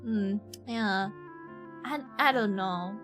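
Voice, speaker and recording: A woman's voice: medium pitch, takes it slowly. A young woman from Ireland chatting casually as she streams a game, informal and slangy, often self-deprecating. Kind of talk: casual live stream chat. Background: game music.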